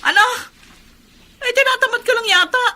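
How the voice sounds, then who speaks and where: An actress in a Filipino radio drama playing a nagging, impatient, resentful wife who complains and scolds. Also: female voice, very high voice, moderate pace, pushed and bright, heavily acted. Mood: scolding, impatient.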